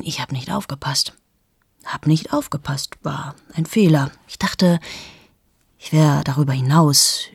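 A woman's voice: dry recording, studio quality, exaggerated voice. An actress in her thirties playing a cold, cynical journalist in a radio play monologue, confiding and often dropping to a bitter whisper. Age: 30s. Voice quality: husky, gravelly low voice.

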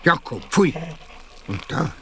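A man's voice: a little high, sing-song, slow pace, fairly bright tone, a little hiss or hum, exaggerated voice. A man playing a down-to-earth young boy detective in a children's radio play, casual, with a Swiss German accent. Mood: alarmed.

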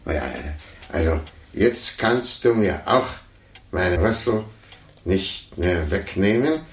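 An actor playing a fussy, condescending older gentleman in a 1950s radio play, muttering to himself and talking down patronizingly. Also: deep voice, slow pace. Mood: flustered, pompous. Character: condescending, fussy, self-important older gentleman chess amateur. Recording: roomy, noisy 1950s radio recording.

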